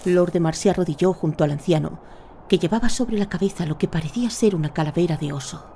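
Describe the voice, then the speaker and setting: A woman's voice: lowish voice, fast, vocal fry, warm tone, slight background noise. A woman narrating a fantasy audio drama in a scripted, dramatic storytelling style.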